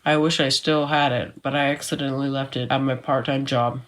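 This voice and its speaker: A man's voice: medium pitch, level tone, a little fast, a bit bright, close to an everyday voice. A man playing an easygoing, adventurous teenage boy, narrating his own story in a matter-of-fact way for an audio drama. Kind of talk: scripted first-person story narration.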